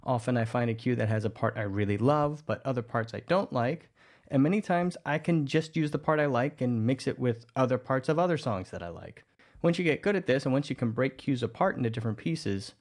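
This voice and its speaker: A man narrating a tutorial on music editing in a relaxed, explanatory way. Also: mid-range voice. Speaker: audio drama producer teaching music editing. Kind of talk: tutorial voice-over.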